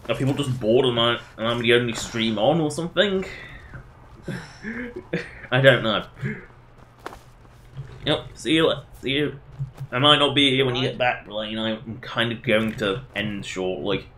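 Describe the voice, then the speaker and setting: A man's voice: medium pitch, a little slow, pauses often, bright, forceful tone, slight background noise. A man doing casual live game commentary, rambling and self-deprecating as he chats to his viewers. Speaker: game livestreamer.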